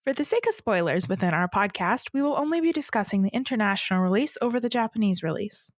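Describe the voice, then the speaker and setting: A woman co-hosting a podcast in loose casual chat, with a giggly, excitable, irreverent manner. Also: mid-range voice, fast, no room sound, studio quality. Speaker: podcast co-host.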